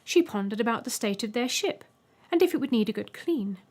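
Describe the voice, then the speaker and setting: A woman reading a scripted short story as an audiobook narrator, with expressive storytelling. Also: a little high, fairly quick.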